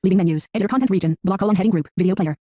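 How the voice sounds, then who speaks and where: A computerised male screen reader rattles off interface labels at very high speed. The tone is slightly dark and mechanical, with clipped phrasing and a dry, roomless sound. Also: racing, dry recording. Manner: clipped, flat synthetic delivery. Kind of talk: robotic readout of interface labels.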